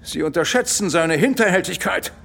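An actor playing a stern, impatient military commander with a raspy voice in a comic sci-fi radio play. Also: male voice, sits high, lively intonation, moderate pace, a bit bright, heavily acted. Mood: suspicious, irritated. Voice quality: raspy, gravelly. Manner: barking, formal military diction.

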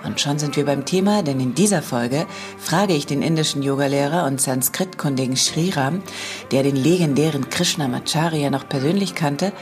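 A woman hosting a podcast, reading a scripted intro and then interviewing a guest in a relaxed, conversational way with some fillers. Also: low-pitched, moderate pace, soft background music. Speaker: podcast host.

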